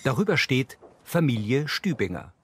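A man's voice: medium pitch, very animated, moderate pace, fairly bright tone, slight background noise. A man giving neutral, factual scripted scene narration in the present tense for a crime series.

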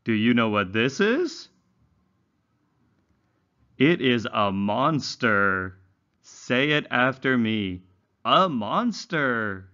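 Very slow, animated male children's teacher. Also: medium pitch, dull audio. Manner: carefully enunciated, instructional, exaggerated intonation. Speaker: English teacher for young learners. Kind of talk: slow read-along lesson narration for children.

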